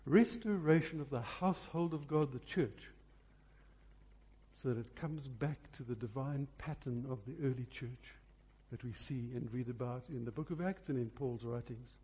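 A male preacher delivers a sermon in a dark, mellow voice with a husky grain. His pitch sits in the middle range. He phrases emphatically and deliberately, repeating key words to drive them home.

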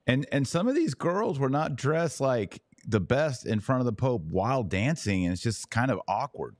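Animated male podcast host, dry close sound. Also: mid-range voice, moderate pace, no room sound. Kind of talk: casual interview conversation.

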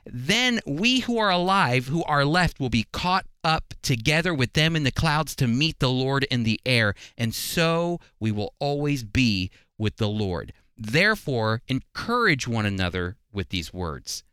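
A man's voice: mid-range voice, big pitch swings, measured pace, hardly any pauses, bright, forceful tone, clear, resonant tone, no echo, studio quality. A man teaching the Bible in a sermon style, emphatic and putting rhetorical questions to his listeners. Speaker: Bible teacher and program host.

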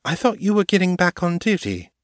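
A man's voice: sits high, very animated, fairly quick, no echo, voice pushed up. A man voicing a poised female vampire doctor who speaks dryly and with wry irony. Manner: dry and wry, ironic.

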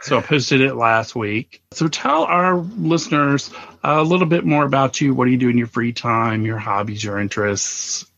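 A man's voice: medium pitch, moderate pace, vocal fry, a bit bright. A man hosting a casual podcast interview, chatty and fond of telling little stories. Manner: chatty, storytelling, laughs easily. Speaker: podcast host. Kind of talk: casual chat. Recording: slightly dull, muffled sound.

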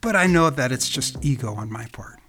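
An American man from Los Angeles, hosting his own podcast with calm, reflective scripted narration. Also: medium pitch, fairly quick, soft background music. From Los Angeles, California. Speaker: podcast host.

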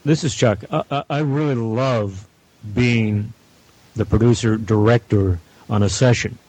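A man speaking as a guest producer, explaining his work in measured, deliberate phrases. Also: fairly low pitch, darkish tone, rough recording. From Canada. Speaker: audio producer and director, guest. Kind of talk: explanatory interview talk.

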